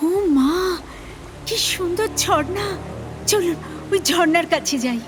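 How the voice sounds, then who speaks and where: Very high, girlish fairy-tale princess played by a woman. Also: measured pace, music in the background. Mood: delicate wonder. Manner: plaintive, girlish, dramatic pleading. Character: delicate, anxious young fairy-tale princess. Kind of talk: Bengali fairy-tale audio drama acting.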